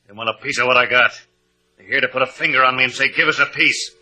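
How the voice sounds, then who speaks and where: An actor playing a suspicious, menacing small-time crook in an old radio drama, snarling and defiant. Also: male voice, mid-range voice, steady tone, fast, sharp tone, poor audio. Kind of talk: 1950s radio drama acting.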